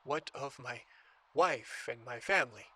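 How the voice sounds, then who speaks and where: An actor playing an obsessive book collector who grows entranced, in a horror audio drama. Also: male voice, mid-range voice, animated, a little slow, very noisy, lightly acted. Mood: anxious, hesitant. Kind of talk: audio drama monologue and dialogue.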